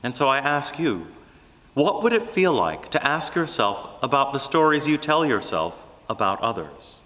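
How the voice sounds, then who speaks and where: A man preaching a reflective, prayerful sermon, speaking earnestly in measured phrases with deliberate pauses. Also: mid-range voice, big pitch swings, bright, forceful tone, in a large hall.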